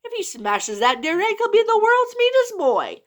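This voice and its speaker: A woman's voice: big pitch swings, moderate pace, fairly bright tone, exaggerated voice. A woman voicing a fussy female worm who shrilly defends an egg. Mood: indignant. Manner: shrill, indignant.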